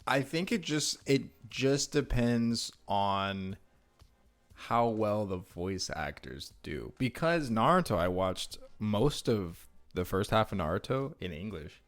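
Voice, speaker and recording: A man's voice: fairly low pitch, very animated, takes it slowly, faint music behind. A man co-hosting a podcast in casual conversation, easygoing and inclined to ask questions. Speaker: podcast co-host. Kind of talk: casual chat.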